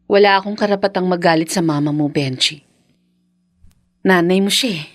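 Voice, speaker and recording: A woman's voice: lowish voice, moderate pace, dry recording, studio quality, natural voice. A woman playing a hurt, resentful young wife and mother in a melodramatic radio drama. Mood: wounded, bitter.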